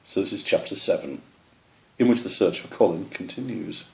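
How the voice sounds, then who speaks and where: A man reading a children's story aloud in an expressive narrating voice. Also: sits low, normal speed, vocal fry. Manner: expressive, with character voices for animals. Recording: roomy, echoey sound.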